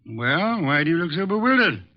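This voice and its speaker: An actor playing a ponderous, testy detective genius in a formal, deliberate 1940s radio style, with a gravelly voice. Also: male voice, fairly high pitch, big pitch swings, background noise. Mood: testy, dry. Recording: muffled vintage 1940s radio recording.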